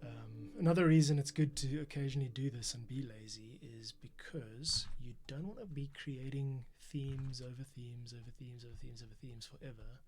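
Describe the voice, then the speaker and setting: A man's voice: medium pitch, normal speed, few pauses, a little mellow. Male livestream host, composer type, casual and explanatory, talking through his process with prominent music behind him. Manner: thinking aloud, explanatory. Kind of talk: casual livestream commentary while working. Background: music playback.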